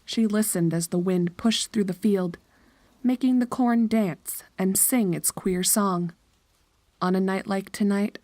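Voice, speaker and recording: A woman's voice: medium pitch, a little mellow, dry recording. A woman narrator reading a scripted horror story.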